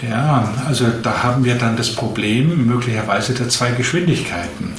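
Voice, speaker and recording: Late-fifties male doctor, even explanatory delivery, roomy sound, faint hiss. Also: mid-range voice. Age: 50s. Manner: measured, explanatory, long flowing sentences. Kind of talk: interview answers.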